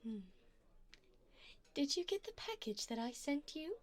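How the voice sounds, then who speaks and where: Casting: actress as rich female vampire for ASMR roleplay; highish voice, lilting and unhurried, breathy, poised, doting with a predatory edge. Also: very animated. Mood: gracious, composed. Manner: poised, formal, teasing, often breathy and half-whispered. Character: seductive, wealthy vampire woman, doting and predatory. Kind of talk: ASMR roleplay, addressing the listener.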